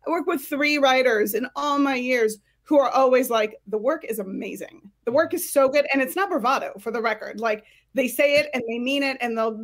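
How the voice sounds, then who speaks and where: High-voiced woman giving candid advice. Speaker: screenwriting career coach, panel guest. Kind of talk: conversational advice on a panel.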